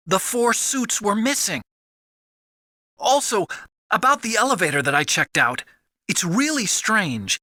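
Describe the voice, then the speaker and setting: An actor playing a nervous, earnest, soft-hearted young male scientist in a video game, with a breathy high voice. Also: big pitch swings, a little slow, long pauses, no room sound, studio quality, heavily acted. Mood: uneasy. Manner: anxious, pleading.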